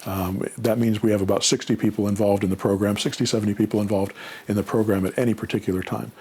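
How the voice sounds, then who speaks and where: A man's voice: fairly low pitch, flat delivery, fast, a little mellow, husky. A man giving casual, unscripted advice as a mentor answering questions, in a plainspoken, conversational way.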